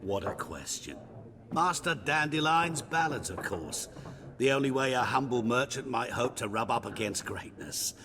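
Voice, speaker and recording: An actor playing a sly, ingratiating vagrant merchant in a fantasy video game, with a florid, wry, theatrical delivery. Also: male voice, mid-range voice, expressive, normal speed, noisy background, natural voice. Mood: wry, grandiose. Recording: tavern crowd behind.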